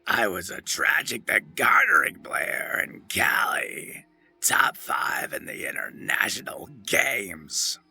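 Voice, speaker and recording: A rotting wasteland ghoul from a video game, harsh and forceful. The voice is scratchy and distorted, and every word is dragged out and over-enunciated. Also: male voice, on the low side, sing-song, gravelly, slightly rough, dry recording, faint music behind, voice pushed down, exaggerated voice. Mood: strained, boastful. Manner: overly enunciated, drawn-out words. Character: ghoul, rotting irradiated wasteland mutant with drawn-out words.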